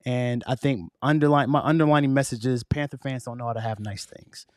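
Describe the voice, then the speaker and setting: A man hosting a podcast in a casual, slangy way, his voice hoarse and fading. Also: medium pitch, fairly quick, dry recording, studio quality. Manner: laid-back, slangy, conversational. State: losing his voice. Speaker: podcast host.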